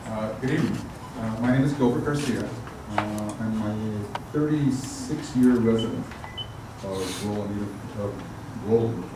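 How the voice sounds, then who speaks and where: A middle-aged man, a school board candidate, giving a halting forum statement with many fillers. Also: on the low side. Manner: hesitant, many fillers and restarts. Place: outdoor park event. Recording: echoey, far from mic, noisy.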